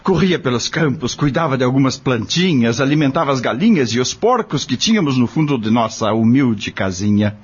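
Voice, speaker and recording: Um ator com sotaque brasileiro interpretando um pai humilde e preocupado do interior, em radionovela, com fala simples e queixosa. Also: male voice, medium pitch, expressive, brisk, hardly any pauses, husky. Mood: plaintive, confiding. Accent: Brazilian. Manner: plaintive, simple colloquial speech. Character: humble, worried rural father, a dismissed farmhand. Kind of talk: audio drama acting. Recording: dull lo-fi audio.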